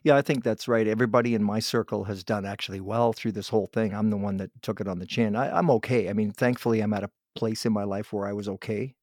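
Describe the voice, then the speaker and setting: A middle-aged male host with a slightly low voice keeps a casual chat going, agreeing warmly and talking in long run-on thoughts. The sound is dry, with no room around it. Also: steady tone, fast talker, hardly any pauses, no room sound. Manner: friendly, affirming, talks in long run-on thoughts. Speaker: interview host. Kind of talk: casual conversation.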